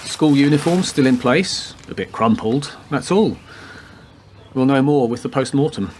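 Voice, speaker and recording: A man playing a laconic, deadpan police detective with a macabre wit in a radio play. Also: mid-range voice, unhurried, a little hiss or hum, natural voice. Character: wisecracking male police detective with macabre humour. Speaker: actor in a community radio play. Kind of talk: radio drama dialogue.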